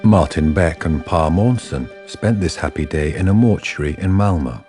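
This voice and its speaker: A man's voice: low voice, normal speed, darkish tone. A man delivering scripted narration for a radio drama. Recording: faint music underneath.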